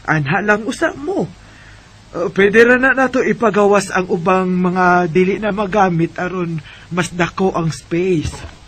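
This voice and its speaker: An actress with a Visayan Filipino accent playing a kind, generous older single woman in a radio drama, gentle and reassuring. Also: medium pitch, measured pace, slightly rough. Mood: kindly, reassuring.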